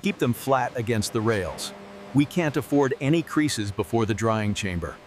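A man's voice: medium pitch, lively intonation, moderate pace, a little hiss or hum. A man giving instructions to workers in scripted workplace dialogue. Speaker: factory worker.

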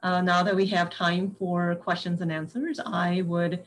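A woman's voice: on the low side, little pitch movement, unhurried. A woman hosting a webinar on a video call, effusive, often adding "right?". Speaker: webinar host. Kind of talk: hosting remarks.

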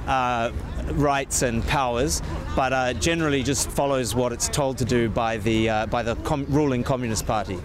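A man's voice: medium pitch, moderate pace, few pauses. A man in his thirties, a news bureau chief, explaining events in an on-location interview outdoors in a large public square, thoughtful and full of restarts. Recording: outdoor noise behind the voice.

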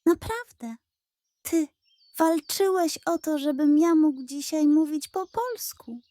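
A woman's voice: sits high, expressive, slow, dark tone, no echo, studio quality, pitched above the performer's own voice. A woman voicing a curious, admiring young grandson in a children's storybook, with wide-eyed, eager questioning. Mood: amazed. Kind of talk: children's audio storybook character.

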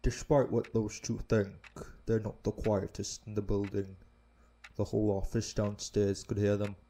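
Gravelly, low man voicing an orc protector. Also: level tone, background noise, lightly acted. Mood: calm, knowing. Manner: measured, reassuring. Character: calm, protective male orc head of security. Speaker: voice actor. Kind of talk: intimate audio roleplay acting.

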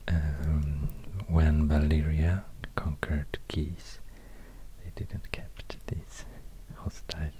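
A man with a Swedish accent speaking in soft ASMR whispers, halting and murmuring as he goes. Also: very deep voice, flat delivery, noisy background. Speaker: ASMR video host. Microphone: close binaural microphone.

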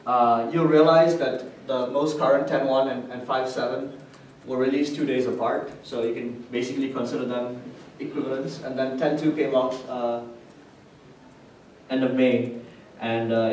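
A man presents technical material to a conference room in an explanatory way. His voice sits at a middle pitch and is picked up from a distance, with very heavy room echo and faint noise behind him. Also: a little mellow. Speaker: conference speaker. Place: indoor hotel conference room with projector screen. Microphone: distant camera microphone.

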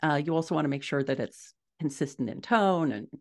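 A middle-aged woman giving measured, explanatory answers as a guest author in a conversational interview over a video call. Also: sits low, lively intonation, darkish tone. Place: small furnished room at home, indoors.

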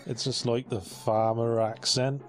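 A young man co-commentating a video game, delivering dry, deadpan jokes. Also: mid-range voice, unhurried. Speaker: let's play co-commentator. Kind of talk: casual gaming banter. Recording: faint game music behind.

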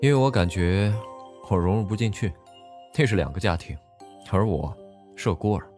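A man's voice: fairly low pitch, expressive, normal speed, darkish tone, close to an everyday voice. A man playing a bookish young student loner in an audio drama, speaking matter-of-factly. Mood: flat, resigned. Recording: music under the voice.

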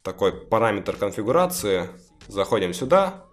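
A man casually talking viewers step by step through installing a game mod, a little hoarse from a cough. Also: fairly low pitch, sing-song, moderate pace, soft background music. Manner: chatty, talks viewers through each step. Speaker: gaming video creator. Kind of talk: casual screen-recorded mod tutorial.

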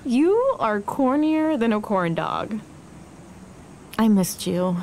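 A woman's voice: fairly high pitch, sing-song, takes it slowly, a little mellow, a little hiss or hum, exaggerated voice. An actress playing a tender, teasing young Mexican American businesswoman in a naturalistic audio romance. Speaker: actor in a scripted podcast romance. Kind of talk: naturalistic audio drama acting.

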